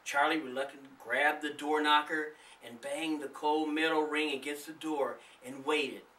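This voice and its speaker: A man's voice: on the high side, steady tone, moderate pace, pushed and bright. A man reading his own spooky story in a dramatic storytelling style. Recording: echoey room, distant microphone.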